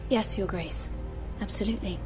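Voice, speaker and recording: An actress playing a careful, deferential young northern handmaiden in a fantasy video game, speaking in a subdued, hesitant manner. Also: female voice, medium pitch, normal speed, close to an everyday voice. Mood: guarded submission. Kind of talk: dramatic video game voice acting. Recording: clear music behind the voice.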